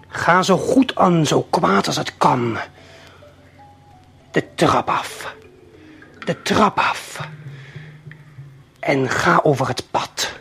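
A man narrating an audio play in the second person, deliberate and suspenseful, speaking straight to the listener. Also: on the high side, vocal fry, a bit bright, slightly rough. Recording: late 1970s vinyl LP production. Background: prominent music.

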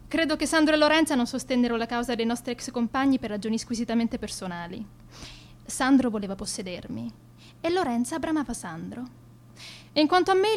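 Radio play: woman as haughty schoolgirl band leader, fairly high voice, florid formal diction, theatrical and self-important. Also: natural voice. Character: arrogant, egotistical teenage schoolgirl, leader of a metal band. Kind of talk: theatrical scripted monologue.

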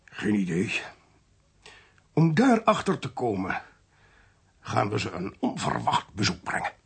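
An actor playing a blunt, commanding military intelligence chief in a radio drama, speaking in clipped, authoritative phrases. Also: male voice, on the low side, wildly swooping pitch, unhurried, gravelly, husky, exaggerated voice. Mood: grave explaining. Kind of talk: Dutch radio drama acting.

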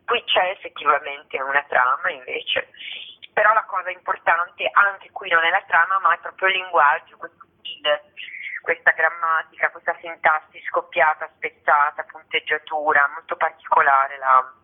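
A woman's voice: deep voice, moderate pace. A woman, an actress and author, talking spontaneously as an interview guest over the telephone, discursive and often rephrasing mid-sentence. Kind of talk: spontaneous radio interview talk. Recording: tinny, narrow-band telephone line.

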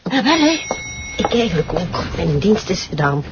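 An actress with a Flemish accent playing a chatty, teasing police officer. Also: female voice, fairly low pitch, very animated, moderate pace. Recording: muffled lo-fi with loud hiss.

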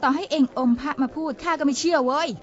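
An actress playing a feisty young village woman who scolds a man with sharp scorn. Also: high voice, steady tone, quick pace, lightly acted. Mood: scornful. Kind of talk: Thai TV drama acting. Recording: distant, dull lo-fi TV audio.

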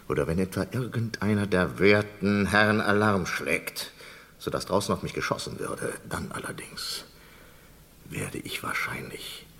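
An escaping condemned prisoner in an old radio crime play. His low voice swoops widely, polite on the surface and menacing underneath. A long reverb hangs around every phrase. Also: fairly bright tone, exaggerated voice. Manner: mock-courteous, unhurried, menacing. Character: condemned man escaping, slyly polite, menacing hostage-taker. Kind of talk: vintage German radio play acting.

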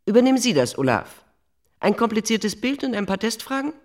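A woman's voice: a little high, big pitch swings, fairly quick, a little mellow. An actress playing an imperious, cynical middle-aged female editor-in-chief in a German radio drama, bossy, dismissive and sarcastic. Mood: scheming.

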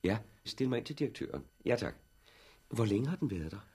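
An actor playing a brisk, authoritative middle-aged research director in a 1960s radio drama, with a husky voice. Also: male voice, on the low side, sing-song, warm tone, exaggerated voice. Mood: intrigued, brisk.

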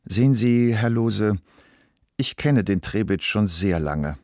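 A man plays a wealthy businessman in a radio drama, composed and ironic. The voice is low, with supple, varied intonation and a deliberate, calm manner. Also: dry recording, clean studio sound. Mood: dismissive, calm.

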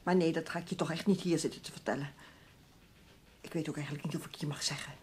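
A woman's voice: low voice, fairly even intonation, quick pace, a little mellow, a little hiss or hum, close to an everyday voice. An actress playing an easily startled, reproachful woman office colleague in a Dutch radio play, speaking emotionally. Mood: reproachful, pleading.

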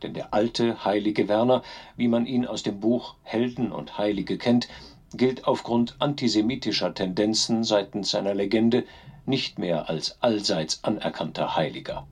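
A man's voice: lowish voice, moderate pace, slightly rough. An actor playing a solemn male news announcer, reading formally and declaiming in a radio play. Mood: solemn, official. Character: solemn male news announcer reporting grave world events.

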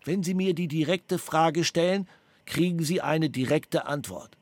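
A man's voice: a little high, measured pace, a little mellow, reverberant. A man being questioned by a prosecutor, agitated and defiant, repeating himself over and over. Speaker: suspect under interrogation. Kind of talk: police interrogation tape.

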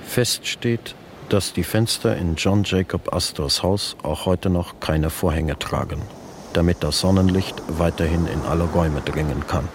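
An actor playing a sober chronicler of a disaster in a radio play, deliberate and matter-of-fact, in standard German. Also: male voice, sits low, noisy background. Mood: sober, grave. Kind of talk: scripted radio play narration.

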